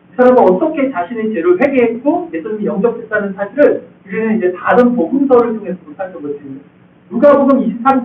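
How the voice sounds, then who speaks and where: High-voiced male pastor in an echoey church. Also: a little hiss or hum. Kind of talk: expository sermon. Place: church sanctuary. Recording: echoey hall, distant tinny sound.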